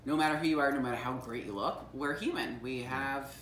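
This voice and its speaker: A woman's voice: a bass voice, brisk, fairly bright tone, a little hiss or hum. A young transgender woman explaining her experience in a casual chat, candid and full of ums. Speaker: guest. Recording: echoey room, mic at a distance, faint ambience.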